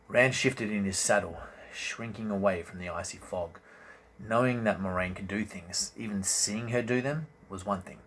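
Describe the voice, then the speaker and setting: Male fantasy audiobook reader, deep register, theatrical and deliberate delivery, amateur recording with slight background noise. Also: a bit bright, poor audio. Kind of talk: dramatic scripted narration of a fantasy novel.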